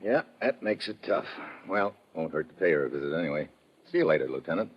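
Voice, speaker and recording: A man's voice: rumbling low, lively intonation, fast. An actor playing a terse, wry, suspicious American investigator who narrates his own case in old-time radio detective style. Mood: dry, skeptical. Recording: dull vintage radio recording with music.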